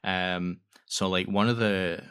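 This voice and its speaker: Very low-voiced man in casual conversation. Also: fairly even intonation, a bit bright, smooth. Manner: halting, repeats words as he explains. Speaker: interview guest, audio fiction creator. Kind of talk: casual chat.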